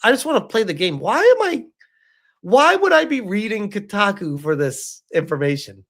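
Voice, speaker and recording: A man's voice: sits high, big pitch swings, normal speed, fairly bright tone. A man streaming casual commentary, reacting to what he reads and chatting with viewers in an exasperated, sarcastic way, prone to chuckling and swearing. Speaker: streamer reading and reacting to a game review with live chat.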